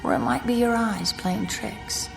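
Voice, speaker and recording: A woman's voice: mid-range voice, big pitch swings, unhurried, gravelly, over music. An actress playing a weary, anxious young woman motorist in a radio drama, uneasy and confiding. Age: 20s. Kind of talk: radio drama acting, confiding narration and dialogue.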